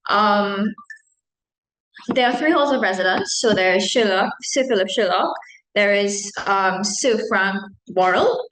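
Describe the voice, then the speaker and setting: A woman's voice: medium pitch, fairly bright tone. A young woman from Trinidad, a medical student, answering questions at an open-day panel in a chatty way with many ums. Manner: chatty, frequent ums, self-deprecating asides. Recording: echoey room.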